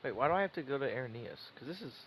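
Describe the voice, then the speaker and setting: A man's voice: medium pitch, animated, racing, a bit bright, slight background noise, poor audio. A young man giving casual, informal, reactive commentary as he plays through a video game. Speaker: game streamer. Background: faint game music.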